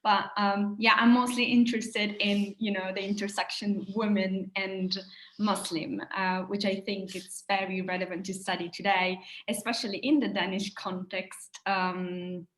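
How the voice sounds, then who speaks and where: A woman's voice: medium pitch, flat delivery, measured pace, a bit bright. A woman student introducing herself in an online seminar, hesitant and full of fillers and restarts, over a video call. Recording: roomy echo.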